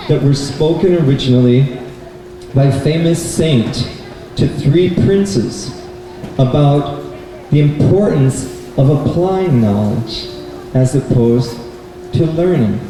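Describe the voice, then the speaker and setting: A man presenting a children's puppet show, telling a story deliberately to a live audience and putting questions to the kids. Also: fairly high pitch, dark tone. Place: indoor bookstore event, live. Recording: echoey room, distant mic, faint noise.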